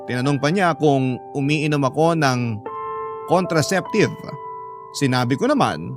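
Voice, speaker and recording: High-pitched, earnest sentimental narrator. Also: male voice, animated, a little slow. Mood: puzzled. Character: earnest, sentimental letter writer confiding a love story. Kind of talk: dramatic first-person radio narration. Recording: music bed under narration.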